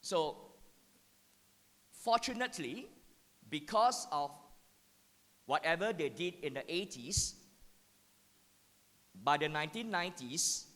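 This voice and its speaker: A man's voice: a little high, lively intonation, slow pace, long pauses, a bit bright. A man with a Singaporean accent giving a talk to teachers, repeating key points and checking in with the audience with "yeah?". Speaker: education speaker. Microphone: handheld mic. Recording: hall with long reverb.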